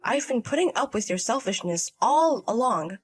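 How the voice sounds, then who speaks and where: A woman's voice: fairly high pitch, expressive, moderate pace, breathy edge, soft background music. A woman voicing a stern older mother and grandmother in a story drama, scolding and firm. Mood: scolding, exasperated. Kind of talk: acted dialogue in a narrated drama story.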